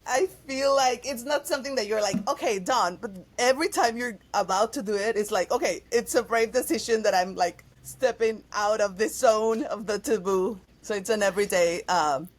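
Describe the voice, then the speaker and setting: A woman's voice: on the high side, normal speed, few pauses. A woman from Mexico with a Mexican accent, a guest in a casual interview, talking expressively, dropping in lots of "like" and slipping into Spanish. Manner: expressive, heavy use of "like", switches into Spanish. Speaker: guest, actress and singer.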